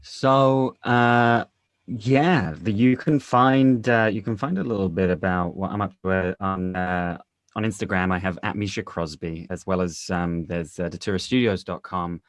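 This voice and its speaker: A man speaking casually as a guest in a panel conversation, with halting, hesitant phrasing. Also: deep voice, steady tone, no room sound, studio quality. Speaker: interview guest, actor and audio drama director.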